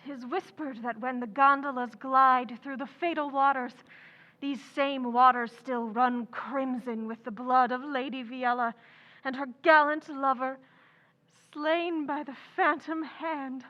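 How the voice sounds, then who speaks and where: An actress playing an outspoken, impetuous young writer, chattering and blunt, in an old-time radio drama. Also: female voice, high-pitched, fairly even intonation, a little slow, highs cut off. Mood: melodramatic.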